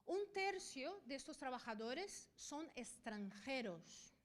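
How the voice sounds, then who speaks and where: Woman, high voice, Brazilian with a Spanish-from-Spain accent, middle-aged, conference lecture, keeps asking "ok?". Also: big pitch swings. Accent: Brazilian Portuguese speaker with Spanish from Spain. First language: Portuguese. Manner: didactic, often checks in with a quick "ok?". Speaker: veterinarian and university professor giving a talk. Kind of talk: conference presentation.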